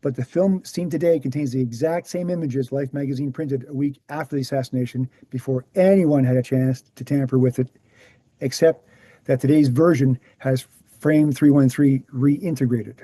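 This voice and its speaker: A man playing an earnest researcher in a screenplay table read, explaining things in a measured, assured way. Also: mid-range voice, steady tone, warm tone, no room sound, lightly acted. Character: Gary, earnest male presenter, calm debunking researcher.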